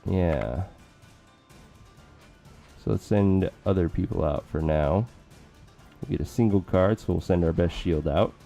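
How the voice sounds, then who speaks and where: A man casually commentating a strategy game with joking asides, his voice going hoarse. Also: deep voice, unhurried, pauses often, warm tone, faint music behind. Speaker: let's play commentator.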